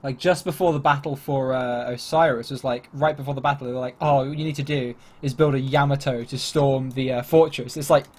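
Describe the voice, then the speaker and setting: A man's voice: medium pitch, steady tone, brisk, few pauses. Chatty young Englishman streaming. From England. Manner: chatty, rambling, laughs easily. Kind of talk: casual livestream chat.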